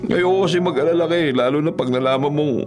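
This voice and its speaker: Actor doing poor young filipino guy, heartbroken gardener in a radio drama, highish voice, earnest n pleading. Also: fast talker, music in the background, lightly acted. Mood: heartbroken, apologetic. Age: young adult.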